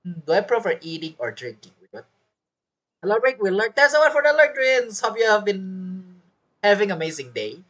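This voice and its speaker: A game streamer with a husky, medium-pitched voice commentates casually. The talk rambles as thoughts are spoken aloud, with very lively intonation. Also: brisk, rough recording. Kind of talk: casual live gameplay commentary.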